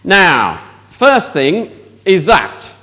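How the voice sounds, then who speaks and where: A man's voice: high-pitched, huge pitch swings, very slow, sharp tone. A man leading an interactive children's talk in church, asking the audience questions.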